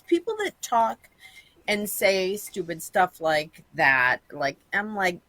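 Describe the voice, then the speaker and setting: Guest type: fifties woman, bright, candid rambling talker, dry recording. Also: mid-range voice, expressive, takes it slowly, no echo. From Massachusetts, USA. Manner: blunt, chatty, rambling with many ums. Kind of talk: candid casual interview chat.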